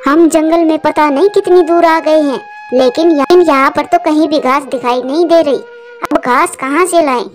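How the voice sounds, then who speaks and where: A woman's voice: sits high, normal speed, faint music behind, close to an everyday voice. A woman voicing a caring, practical and worried mother bird in a moral-story cartoon.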